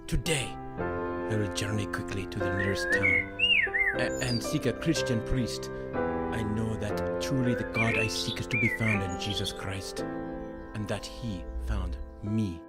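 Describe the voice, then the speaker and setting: Animated, earnest Roman soldier. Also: male voice, on the low side, over loud music. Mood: fervent resolve. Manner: earnest, dramatic, halting when moved. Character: kindly, devout young Roman soldier. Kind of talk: audio drama acting.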